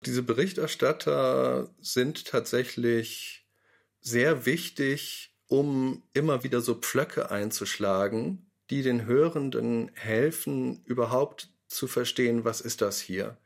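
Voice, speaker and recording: A man speaking thoughtfully in an interview, pausing to stress key words. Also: medium pitch, vocal fry. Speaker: radio drama maker. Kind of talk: reflective interview talk.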